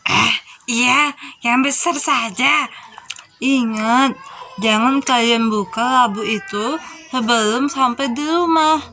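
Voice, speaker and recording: Kind village granny for a storybook , played by a woman: gentle, elderly, slow, higher voice. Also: heavily acted. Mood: gentle warning. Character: kindly, gentle old village grandmother.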